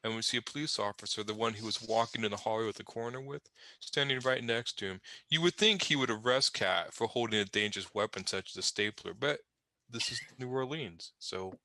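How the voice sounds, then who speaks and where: A man's voice: on the low side, fairly quick, bright, forceful tone. A man narrating comic panels off the cuff, with wry, rambling, conversational asides. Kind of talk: improvised humorous scene description.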